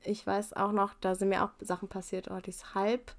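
A young woman from Germany, a guest in a casual interview, talking thoughtfully and candidly with soft laughs. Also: mid-range voice, little pitch movement, brisk. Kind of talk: casual conversation.

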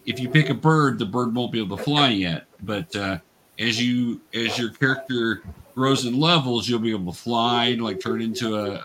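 A man's voice: medium pitch, background noise. A man explaining and narrating a tabletop game as game master, casually and patiently.

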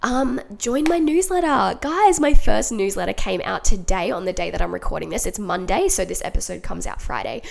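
A woman hosting her podcast from home while sick with a cold, her nose blocked and her throat sore, so she sounds deeper and huskier than usual. She talks in a chatty, upbeat way and keeps addressing her listeners as guys. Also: expressive, moderate pace, vocal fry, hardly any pauses. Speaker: podcast host and life coach. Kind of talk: solo podcast monologue. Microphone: home podcast mic.

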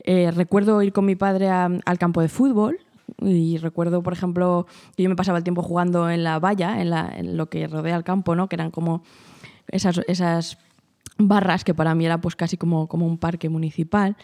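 A woman from answers interview questions. Her voice is mellow and warm. Her manner is quiet, reserved and thoughtful. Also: lowish voice, little pitch movement, a little fast. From Spain. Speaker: guest, journalist.

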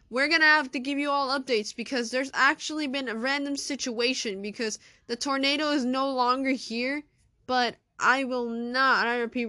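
A high-voiced school principal, acted out by a woman storyteller, bossy and emphatic. The figure repeats orders and is quick to take fright, as in a homemade spoken story. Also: bright, forceful tone, clean tone, no echo, studio quality. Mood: firm. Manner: emphatic, repetitive announcements.

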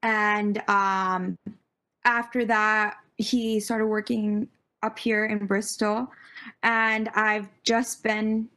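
A woman's voice: medium pitch, flat delivery, extremely slow, sharp tone. A young American woman, a podcast guest, chatting casually with bubbly enthusiasm and frequent laughter. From United States (born Virginia, raised Connecticut).

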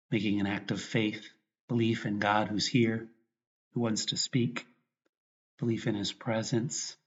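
Dull-sounding recording of a man guiding prayer. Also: lowish voice. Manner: unhurried, reflective, pausing mid-thought. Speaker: priest guiding meditation. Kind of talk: guided meditation and prayer.

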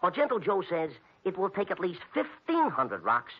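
Small-time gambler, American, pompous and stilted, highish voice, old radio drama, muffled vintage recording. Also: male voice, huge pitch swings, moderate pace, breathy edge, full character voice. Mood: rueful storytelling. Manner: stilted, over-formal underworld slang without contractions. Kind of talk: 1950s radio comedy-detective acting.

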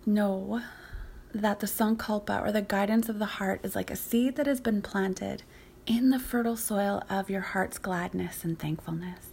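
Meditation teacher, female, mid-pitched. Breathy, near-whispered delivery, soothing and unhurried guided narration. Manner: soothing, unhurried, gently instructive. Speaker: yoga nidra teacher.

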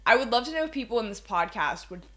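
A young woman from Maryland co-hosting a podcast, chatting in a relaxed way and laughing often. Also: fairly high pitch, quick pace. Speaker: podcast co-host. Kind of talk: casual podcast chat.

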